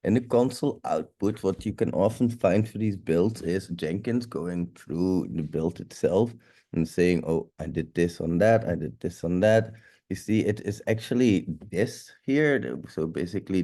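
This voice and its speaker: A man's voice: deep voice, a little slow, warm tone. A man with a stuffy nose giving a loose, rambling live walkthrough of a tech tool as the instructor, swearing now and then. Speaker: security instructor.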